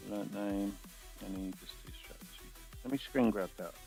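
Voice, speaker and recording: A man's voice: fairly low pitch, fairly quick, a little mellow. A man on a live call, chatting casually as a customer in a hesitant, meandering, colloquial way. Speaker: customer calling in with questions. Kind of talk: casual chat. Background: music.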